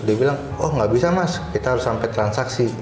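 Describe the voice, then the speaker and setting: A man's voice: medium pitch, animated, brisk. A man with a colloquial Jakarta Indonesian accent, chatting informally and telling anecdotes as an interview guest. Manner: informal, slangy storytelling. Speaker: interview guest, cyber security supervisor. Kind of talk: casual chat, telling anecdotes. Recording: roomy echo with faint music underneath.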